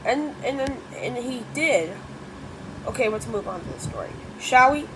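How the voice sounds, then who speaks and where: A high, very lively female voice reads a storybook aloud. She stumbles and corrects herself, slipping into informal asides. There is clear background noise behind her. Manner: halting, self-correcting reading. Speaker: story reader.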